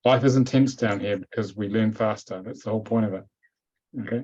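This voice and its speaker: A man's voice: fairly low pitch, a little fast, a little mellow. A man hosting a webinar Q&A, answering questions in a direct, matter-of-fact way over a video call. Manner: direct, matter-of-fact, repeats points firmly. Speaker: webinar host and teacher. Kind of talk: live webinar Q&A. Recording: dull lo-fi call audio.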